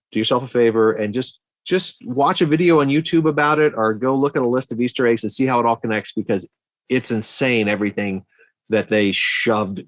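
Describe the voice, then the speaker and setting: A man's voice: medium pitch, no room sound. Man chatting casually, close and dry. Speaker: podcast guest or co-host, movie fan. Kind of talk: casual film discussion.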